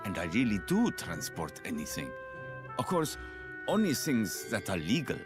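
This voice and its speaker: A man voicing a boastful, shady male transport boss in a game who talks in a gruff, suspicious way. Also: fairly low pitch, huge pitch swings, normal speed, music in the background. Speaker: game character. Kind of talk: voiced game dialogue.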